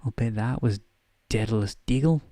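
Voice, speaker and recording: A man's voice: on the low side, moderate pace, mellow, dry recording, close to an everyday voice. A man voicing a stern, irritable older female professor with a Scottish accent in a bedtime story reading, clipped and indignant. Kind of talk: softly voiced bedtime story character acting.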